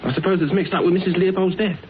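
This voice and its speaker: An actor playing a young, blunt, sceptical detective's assistant in a classic radio drama, informal in manner. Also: male voice, high voice, fairly even intonation, fast, close to an everyday voice. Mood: blunt, curious. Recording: muffled, hissy old radio recording.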